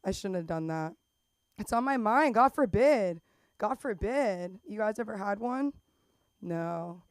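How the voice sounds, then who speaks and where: A woman's voice: medium pitch, animated, a little slow, no room sound. A young adult woman hosting an open mic, chatting in a rambling way with self-conscious asides. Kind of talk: stand-up hosting. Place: comedy club stage.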